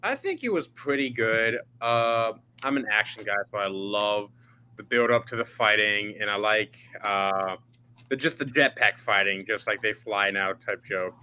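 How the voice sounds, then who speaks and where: Bright-toned man chatting as a guest. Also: fairly low pitch, measured pace, slight background noise. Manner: relaxed, rambling opinions with small laughs. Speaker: podcast guest. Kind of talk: casual chat.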